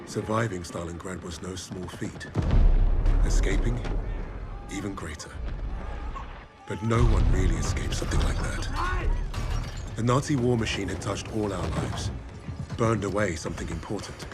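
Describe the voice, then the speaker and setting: An actor playing a grave, battle-hardened team leader who narrates a war video game in a solemn, measured manner. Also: male voice, low-pitched, close to an everyday voice. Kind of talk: reflective scripted game narration. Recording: very loud music and battle sounds.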